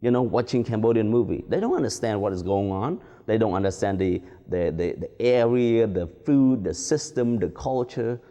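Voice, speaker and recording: Man, mellow dark voice, measured explaining, long reverb, dull lo-fi sound. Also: on the low side, animated. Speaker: interviewee. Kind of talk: explanatory commentary.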